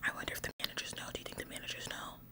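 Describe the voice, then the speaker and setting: A woman acting a whispering, bossy store employee. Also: close to an everyday voice. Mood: fussy, indignant. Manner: halting, repetitive, passive-aggressive nagging. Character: bossy, officious female store employee, smug tattletale. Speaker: ASMR performer. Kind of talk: whispered ASMR roleplay.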